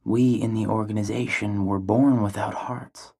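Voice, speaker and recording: A man playing a withdrawn, naive young teenage boy in a video game, with a soft, breathy voice and a subdued, wondering delivery. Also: fairly low pitch, fairly even intonation, moderate pace. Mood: pensive, subdued. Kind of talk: introspective video game character monologue.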